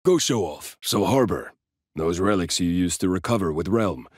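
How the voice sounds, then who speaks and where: Cocky Swedish game mercenary, Swedish accent, husky, animated, swaggering, mocking, blunt. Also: male voice, sits low, moderate pace, no room sound, studio quality. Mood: blunt, menacing. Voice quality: rough, husky. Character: cocky, brash Swedish mercenary brawler, adult man. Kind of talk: video game voice lines.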